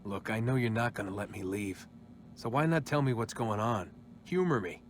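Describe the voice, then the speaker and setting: An actor playing a reluctant, sardonic captive in a video game, casual and weary. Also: male voice, fairly low pitch, normal speed, a little hiss or hum, close to an everyday voice. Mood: irritated, evasive. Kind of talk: naturalistic video game acting.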